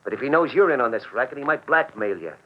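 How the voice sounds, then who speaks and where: An actor playing a shifty gun racketeer with terse, slangy gangster talk, in a 1940s radio drama style. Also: male voice, mid-range voice, animated, fast talker, husky. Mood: wary. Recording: muffled 1940s radio recording.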